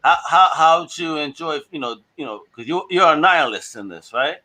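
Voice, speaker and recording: A man's voice: fairly high pitch, lively intonation, a little fast, sharp tone. A man hosting a casual podcast chat, enthusiastic and laughing often as he asks his guests questions. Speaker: podcast host.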